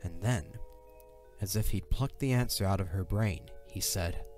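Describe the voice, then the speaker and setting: Male narrator, deep register, scripted dramatic storytelling, light music underneath. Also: unhurried. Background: soft music.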